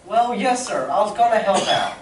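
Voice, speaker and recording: A man's voice: high voice, very animated, normal speed. A man playing an earnest, boyish, brave young space adventurer in an old-time radio serial reading. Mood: eager, boyish. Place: community playhouse stage, live reading. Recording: roomy, distant mic, noisy stage recording.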